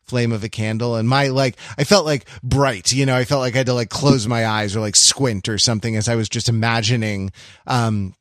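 A man co-hosting a podcast, discussing film casually and analytically in a halting way, often repeating words as he works out his ideas. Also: medium pitch, steady tone, no echo, clean studio sound. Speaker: podcast co-host.

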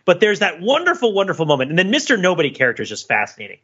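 A man co-hosting a casual, analytical film discussion, talking in an animated, enthusiastic way with many rambling asides. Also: sits high, very fast, slightly rough, highs cut off. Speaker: podcast co-host.

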